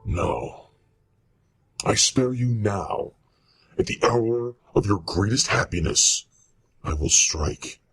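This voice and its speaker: A man playing a menacing, vengeful monster in a horror radio play, speaking huskily with slow, ominous deliberation. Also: on the low side, expressive, a bit bright, husky, no room sound, soft background music, heavily acted. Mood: cold menace. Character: menacing male monster, vengeful creation tormenting its maker. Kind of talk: amateur radio-play style horror acting.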